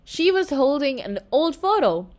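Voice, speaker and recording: High-voiced woman reading a children's story aloud. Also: a little slow. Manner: expressive, with slips and self-corrections. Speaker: children's book reader.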